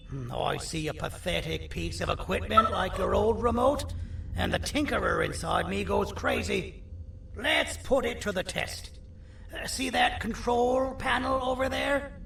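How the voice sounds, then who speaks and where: A man playing a sinister, self-important monster surgeon in a video game, pompous, theatrical and condescending, with a gravelly voice. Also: sits high, slow pace, pauses often, fairly bright tone, exaggerated voice. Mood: smug, showy. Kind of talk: video game villain character acting. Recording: roomy echo, distant, faint noise.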